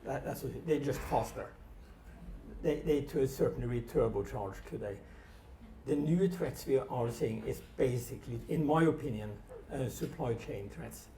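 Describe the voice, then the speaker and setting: A middle-aged man with a Norwegian accent and a mellow, relaxed voice talks informally on a panel. The room is echoey and he sounds a little far from the mic. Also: medium pitch, moderate pace. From Norway. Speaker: technology panelist. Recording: echoey room, distant mic, background noise.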